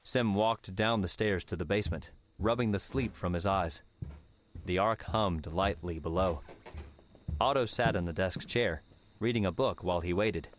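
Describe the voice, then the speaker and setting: A man's voice: low voice, normal speed, a little hiss or hum. A man reading scripted story narration as an audiobook narrator. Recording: music under the voice.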